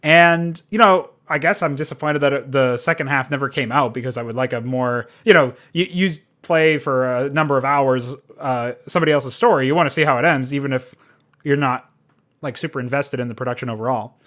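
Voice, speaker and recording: A man's voice: mid-range voice, brisk, fairly bright tone. A man giving a casual, unscripted game review, rambling and informal, with frequent swearing.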